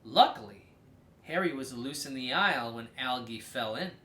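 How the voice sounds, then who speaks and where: A person reading a children's story aloud as host, in a theatrical, drawn-out way with emphatic stresses. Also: medium pitch, expressive, pushed and bright, reverberant.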